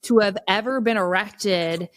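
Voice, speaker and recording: A woman chatting casually as a podcast co-host, telling things in an animated way with wry exaggeration. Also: mid-range voice, a little slow, no room sound, clean studio sound.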